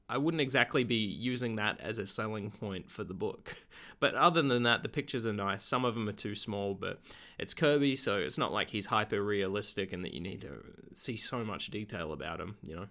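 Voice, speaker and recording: A young man, slightly hoarse from being sick, chatting in a relaxed, rambling way with lots of ums in a video diary at home. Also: on the low side, normal speed. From Australia. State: slightly hoarse, getting over an illness. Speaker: vlogger.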